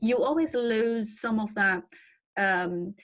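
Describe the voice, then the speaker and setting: A woman's voice: a little high, takes it slowly, fairly bright tone. A middle-aged woman whose first language is Dutch, an illustrator talking animatedly about her watercolor workflow on a video call, often restarting her words. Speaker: traditional illustrator on an online panel. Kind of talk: casual conversation about art workflow. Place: cluttered art studio, indoors.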